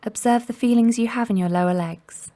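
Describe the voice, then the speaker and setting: A woman guiding a meditation in a gentle, soothing and unhurried manner, leaving long pauses between instructions. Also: on the high side. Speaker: mindfulness meditation guide. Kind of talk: guided body scan meditation narration.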